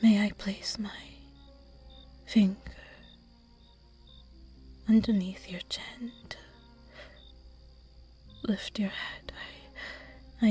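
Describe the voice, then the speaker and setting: A woman voicing a seductive, predatory vampire in a whispered audio roleplay, teasing and hushed. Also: medium pitch. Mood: commanding. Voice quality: breathy whisper. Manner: slow, teasing, hushed and intimate. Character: seductive predatory vampire, flirty noble demon.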